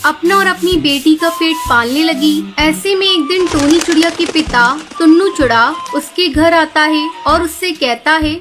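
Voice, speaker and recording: A woman's voice: high-pitched, moderate pace, few pauses, over music. A woman narrating a scripted children's story.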